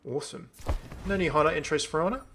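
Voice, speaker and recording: A man hosting a gaming video with casual, enthusiastic commentary in a creaky voice. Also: on the high side, fast, fairly bright tone, a little hiss or hum. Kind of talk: casual commentary on a game update.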